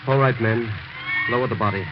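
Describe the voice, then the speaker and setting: An actor playing a sober, concerned doctor in a 1940s radio drama, grave and admonishing. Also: male voice, lowish voice, steady tone, a little fast, close to an everyday voice. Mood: grave, cautioning. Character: concerned doctor friend, sober, rational, cautioning. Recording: noisy, muffled 1940s radio recording.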